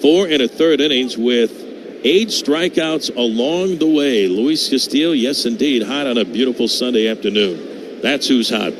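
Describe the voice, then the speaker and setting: A man calling live baseball play-by-play for radio, lifting his voice on the action and settling back between pitches. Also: normal speed. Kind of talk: live radio sports commentary. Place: open-air ballpark broadcast booth. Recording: clear outdoor ballpark noise behind.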